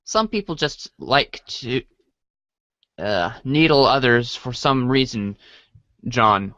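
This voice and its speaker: A performer playing a gentle, forgiving young friend with a mild, hesitant, giggly manner in an amateur comedic readers' theater. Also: mid-range voice, expressive, slow, dry recording, lo-fi sound. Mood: gentle, amused.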